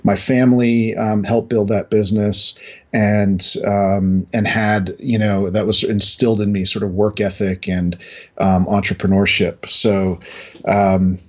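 A middle-aged American man from New England speaking in a halting, reflective way as a guest in a casual interview. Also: fairly low pitch, flat delivery, highs cut off. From New England, United States. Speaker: interview guest, industry analyst.

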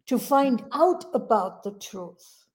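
A woman co-hosting a reflective conversation, calmly summing up what she has heard. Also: fairly high pitch, slow pace.

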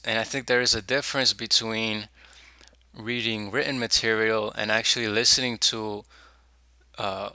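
A young man, a law student, introducing himself on a podcast in an earnest, measured and articulate way. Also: lowish voice, bright, forceful tone, clean tone, dry recording.

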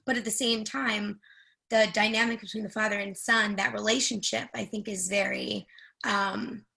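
A woman's voice: medium pitch, flat delivery, moderate pace, creaky, sharp tone. A woman chatting casually in a group discussion, hesitant, with many "like"s and restarts. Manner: hesitant, filler-heavy, says "like" often.

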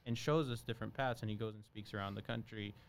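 A man's voice: on the low side, fairly even intonation, fast talker, vocal fry. A young man from Brazil whose first language is Portuguese, a medical student talking earnestly and chattily as a guest. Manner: earnest, chatty with frequent laughs. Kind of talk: casual conversation.

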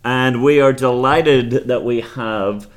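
A man hosting a conference podcast, friendly and curious as he puts questions to his guests. His voice sits at an ordinary middle pitch. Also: slow. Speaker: podcast host.

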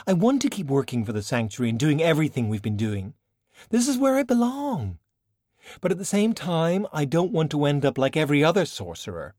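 Audiobook role: headstrong young sorceress, performed by a man. Earnest, protesting, raised and lively pitch, dry recording. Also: fairly quick, dry recording. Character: stubborn, idealistic young woman sorcerer, pleading and defiant.

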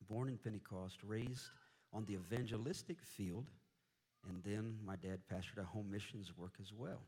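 A man's voice: fairly low pitch, slightly rough. A man in his forties from Indianapolis, a missionary preacher giving a Pentecostal sermon in a church, telling folksy, jokey stories and punctuating them with amens. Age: 40s. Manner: shouting, fervent praise exhortation. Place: church sanctuary.

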